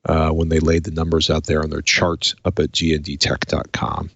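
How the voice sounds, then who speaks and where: A man's voice: a bass voice, completely flat delivery, normal speed, creaky, a bit bright, breathy edge, dry recording, dull audio. A man hosting a casual tech podcast in a conversational, wry way, moving between chat and read-out copy. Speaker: podcast host. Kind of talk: casual tech talk show with a read sponsor message.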